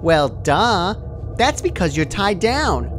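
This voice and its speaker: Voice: medium pitch, sing-song, slow, background noise, pitched above the performer's own voice. A performer voicing a cheerful but deranged female party pony in a story reading, bubbly and sweetly menacing. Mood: chiding, chirpy. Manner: giggly, bubbly, casually chiding.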